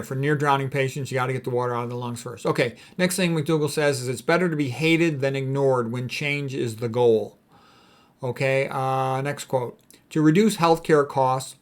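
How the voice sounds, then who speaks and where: A man's voice: medium pitch, normal speed. A man speaking as a doctor in an informal lecture, reading out quotes and commenting on them in a blunt, emphatic, opinionated way. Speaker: doctor commenting on diet and medicine.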